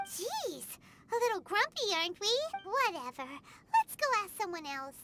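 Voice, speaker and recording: A woman voicing a tiny, chirpy, cheeky childlike fairy companion in a video game, with a squeaky voice. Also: sing-song, normal speed, heavily acted. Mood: grumbling. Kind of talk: video game dialogue acting. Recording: loud game music behind.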